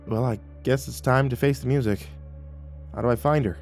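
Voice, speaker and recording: A man's voice: mid-range voice, fairly even intonation, fairly quick, darkish tone, soft background music, close to an everyday voice. A man voicing an anxious, grumbling, foul-mouthed man in an eagle's body, with slangy, groaning delivery, in a narrated story. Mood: uneasy. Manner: groaning, sputtering, slangy complaining.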